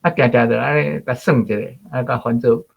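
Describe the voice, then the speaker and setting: A husky man with a Taiwanese accent talks in a casual, chatty way. His mid-pitched voice is captured with a slightly dull, lo-fi sound as he explains and plays with words. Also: fairly even intonation, a little fast.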